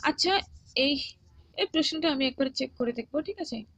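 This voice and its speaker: A woman's voice: high-pitched, animated, normal speed, fairly bright tone. A woman teaching an online exam class in an instructional way, often repeating phrases and adding short check-in questions. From India. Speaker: exam-prep history teacher. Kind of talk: online class, reading quiz questions and answers with encouraging advice.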